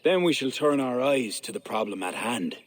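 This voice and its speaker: An actor playing an ambitious medieval high king in a game cutscene, speaking in a grave, commanding, regal manner. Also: lively intonation, normal speed, lightly acted. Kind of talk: video game cutscene acting.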